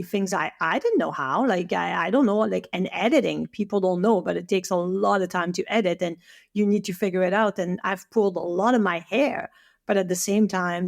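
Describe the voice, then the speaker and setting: A woman's voice: fairly low pitch, vocal fry. A woman with a French accent, whose first language is French, talking as a guest in a podcast interview with eager speech and many restarts. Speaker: guest, Chinese medicine teacher.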